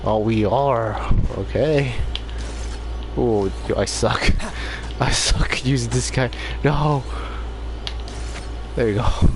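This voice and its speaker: Relaxed man giving game commentary. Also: medium pitch, takes it slowly, noisy background. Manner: relaxed, laughing, self-mocking. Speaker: streamer. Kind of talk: casual gameplay commentary. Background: game music.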